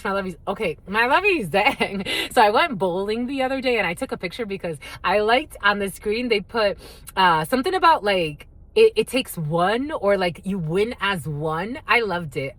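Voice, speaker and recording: A woman whose first language is Spanish gives a casual collective tarot reading in a mid-pitched voice. Her intonation is lively and swings widely. She speaks bluntly, swears freely, and fondly calls her viewers "my lovies". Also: moderate pace. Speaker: tarot reader.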